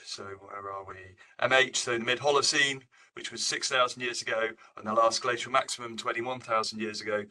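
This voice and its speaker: Sharp, bright-voiced male academic presenter. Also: medium pitch, poor audio. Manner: halting, self-correcting, informal delivery. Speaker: professor giving a research seminar. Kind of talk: academic slide presentation, explanatory.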